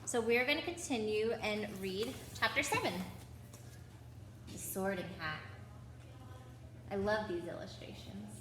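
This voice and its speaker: A woman's voice: medium pitch, lively intonation, a little slow, pauses often. A woman reading a children's story aloud, with chatty asides to her young listeners. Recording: echoey room with background noise.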